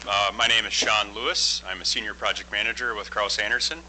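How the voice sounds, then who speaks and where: A middle-aged man giving a plain-spoken project presentation at a public board meeting, loud and full of fillers. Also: on the low side, fairly even intonation, a little fast, slight background noise, low-quality recording. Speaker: construction project manager presenting. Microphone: microphone.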